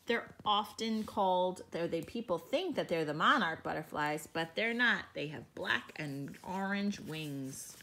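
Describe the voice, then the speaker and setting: Slow, gentle teacher reading to kids. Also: female voice, fairly low pitch, lively intonation, slight background noise. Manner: slow, gentle, explains to young children. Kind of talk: children's picture book read-aloud.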